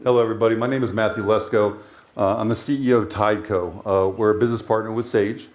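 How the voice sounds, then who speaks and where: Casting note: American male executive, lowish voice, plain businesslike delivery with filler words. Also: fast, slight background noise. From United States. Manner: steady, business-like, frequent "uh" fillers. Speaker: company CEO presenting. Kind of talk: conference presentation.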